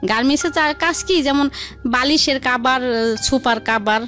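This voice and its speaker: A woman's voice: high voice, normal speed, fairly bright tone. A woman with a colloquial Dhaka-region Bengali accent telling her life story in a rambling, repetitive way as an interview guest. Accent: colloquial Bangladeshi Bengali, Dhaka region. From Bangladesh. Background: faint background music.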